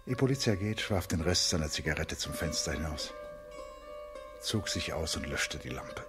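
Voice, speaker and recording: A man giving measured, scripted literary narration for a radio play. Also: very deep voice, lively intonation, music in the background.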